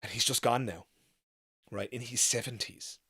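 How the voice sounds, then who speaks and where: A man's voice: medium pitch, normal speed, pushed and bright, slightly rough. A man in his thirties hosting a casual live chat, musing in a rambling way and repeating phrases for emphasis. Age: 30s. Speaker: livestream podcast host.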